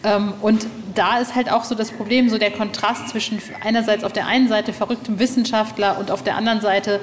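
A woman's voice: mid-range voice, steady tone, brisk. A woman chatting casually and informally as a podcast interviewer, musing aloud and asking loosely phrased questions. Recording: echoey hall, faint ambient noise.

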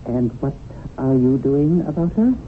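An actor playing a stern, skeptical supervisor of guardian spirits in a radio drama, arch and disapproving. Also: male voice, mid-range voice, expressive, a little slow, close to an everyday voice. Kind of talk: 1970s radio drama acting. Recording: tinny, lightly noisy old radio broadcast.

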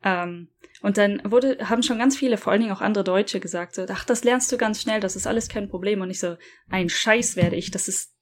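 A young woman talks casually and openly in a relaxed chat. She laughs often, drops a swear word here and there and restarts her sentences as she goes. Her voice sits at an ordinary middle pitch. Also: fairly quick, slight background noise. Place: lives in Japan.

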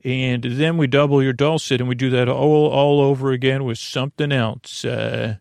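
Creaky-voiced man, rambling bedtime podcast host. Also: mid-range voice, fairly even intonation, normal speed, a little mellow, no room sound. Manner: meandering, tangled, self-deprecating. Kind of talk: rambling bedtime monologue to the listener.

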